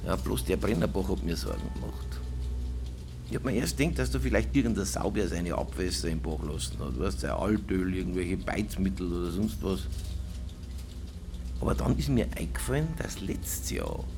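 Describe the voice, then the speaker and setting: An actor playing an earnest, matter-of-fact local official with a Bavarian accent, explaining things in naturalistic drama dialogue. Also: male voice, sits low, lively intonation, normal speed, gravelly, background noise. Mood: explaining, concerned.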